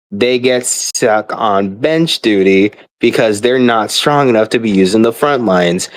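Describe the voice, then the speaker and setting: A man's voice: medium pitch, no echo. A young man on a casual, rambling gamer rant, exasperated, blunt and sweary.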